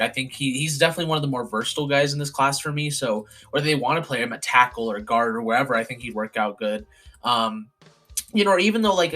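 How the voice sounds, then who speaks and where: Hoarse young guy rambling about football picks, lots of likes and ums, bright voice. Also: medium pitch. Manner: rambling, many fillers and restarts. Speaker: podcast host doing a mock draft. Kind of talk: casual chat.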